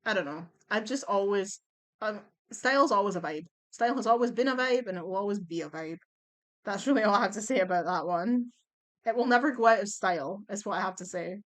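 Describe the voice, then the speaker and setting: A young woman chatting bluntly as she ranks songs at home on her computer, her voice going a little hoarse. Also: medium pitch, a little fast. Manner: chatty, blunt, swears and laughs easily. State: losing her voice. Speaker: vlogger ranking songs. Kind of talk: casual chat.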